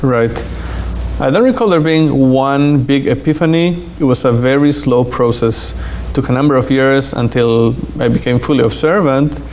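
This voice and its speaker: A man from Argentina with a slight Argentine Spanish accent, a rabbi calmly answering interview questions. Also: medium pitch, normal speed, slight background noise. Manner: calm, plain-spoken, with occasional fillers.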